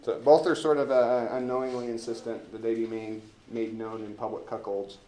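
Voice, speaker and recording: A man teaching literature in an informal classroom lecture, with a halting, rambling and wry delivery. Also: medium pitch, level tone, mellow, poor audio.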